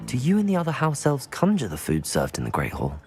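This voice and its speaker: Curious, courteous student, game lead: animated, measured, self-assured. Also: mid-range voice, over music. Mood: polite, curious. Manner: polite, measured, inquisitive. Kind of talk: video game character acting.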